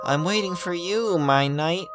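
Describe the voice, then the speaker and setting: Man putting on a high, gentle fairy-tale maiden voice. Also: over music. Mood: gentle, luring. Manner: slow, soft, coaxing. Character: soft, gentle feminine spirit voice, like a fairy-tale princess.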